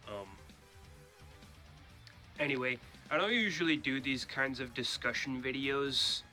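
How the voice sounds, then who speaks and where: A man's voice: medium pitch, a little slow, sharp tone, faint music behind. Stuffy-nosed man, blunt and swearing. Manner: blunt, swears freely when ranting; frequent ums and uhs. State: stuffy nose from a cold. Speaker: gaming YouTuber. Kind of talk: casual opinion vlog.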